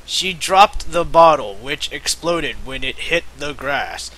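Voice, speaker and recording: A man's voice: a little high, animated, slow pace, pushed and bright, poor audio. A young man giving a mocking, theatrical reading of amateur fiction, often breaking off for joking asides. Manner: theatrical, breaks off to joke and giggle. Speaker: amateur reader of fan fiction.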